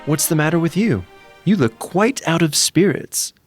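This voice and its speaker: Give this man-performed old storybook donkey an animated, swooping delivery. He is friendly and encouraging, eager to talk companions along, with music playing behind him. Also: on the high side, normal speed, voice pushed up, close to an everyday voice. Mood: friendly concern.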